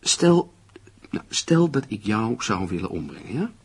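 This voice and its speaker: Radio play: persuasive, dismissive male friend, lively intonation, rough unclear sound. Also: fairly low pitch, measured pace, exaggerated voice. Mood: scornful, persuasive. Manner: argumentative, theatrical, persuasive. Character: glib male friend, needling, reasoning, dismissive of danger. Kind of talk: Dutch radio play acting.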